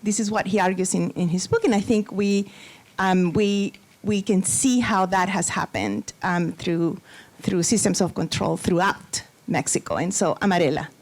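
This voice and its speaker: Slow, hesitant woman introducing a speaker. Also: mid-range voice, darkish tone. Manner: hesitant, many fillers and restarts. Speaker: panel moderator. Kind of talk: academic conference introduction.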